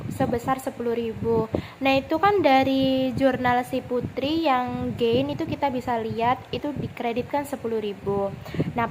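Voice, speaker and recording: A young woman, explaining step by step in a student presentation, informally and haltingly, repeating and correcting herself. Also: fairly high pitch. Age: young adult. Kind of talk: explaining accounting exercise in a presentation. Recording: noisy amateur recording with clicks.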